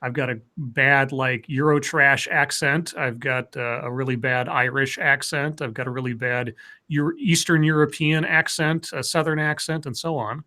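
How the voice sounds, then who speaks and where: A man's voice: medium pitch, steady tone, moderate pace, sharp tone. A man co-hosting a casual livestream chat, rambling and joking with frequent stammers. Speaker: co-host.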